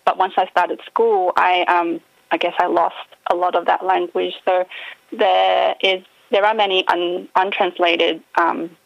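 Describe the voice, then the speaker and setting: A woman's voice: fairly low pitch, level tone, a little slow, gravelly, dry recording, dull audio. A woman author, answering interview questions thoughtfully, often hedging and trailing off. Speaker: author guest. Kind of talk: reflective radio interview answers.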